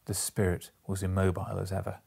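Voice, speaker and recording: A man in his forties reading a classic story aloud in a measured, solemn, dramatic storytelling manner. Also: sits low, completely flat delivery, gravelly, darkish tone, husky. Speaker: storybook reader. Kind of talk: dramatic literary narration. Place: small indoor room, seated in an armchair.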